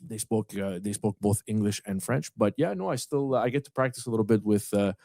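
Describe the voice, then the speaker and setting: A man's voice: lowish voice, expressive, brisk, vocal fry, warm tone, no room sound, clean studio sound. A man hosting a podcast, chatting casually and enthusiastically, asking long, rambling questions. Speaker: podcast host.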